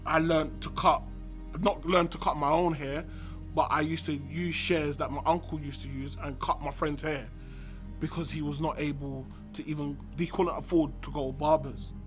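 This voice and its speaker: South London man, young, flat even delivery, music under it; recounting growing up. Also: fairly high pitch, moderate pace. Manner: reflective, repeats "do you see what I'm saying". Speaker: documentary interviewee. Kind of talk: personal testimony.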